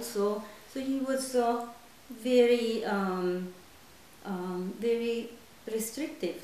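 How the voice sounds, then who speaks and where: A middle-aged woman from Italy, a native Italian speaker, answering interview questions in English in a hesitant, thoughtful way with many pauses. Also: lowish voice, darkish tone. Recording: echoey room with faint hiss.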